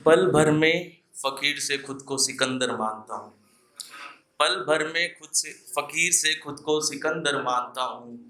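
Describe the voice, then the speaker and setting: Bright, forceful young man reciting poetry. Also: on the high side, slow. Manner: declaims couplets, repeating lines for emphasis. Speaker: poet performing at an open mic. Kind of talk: live Hindi poetry recital with casual asides to the audience.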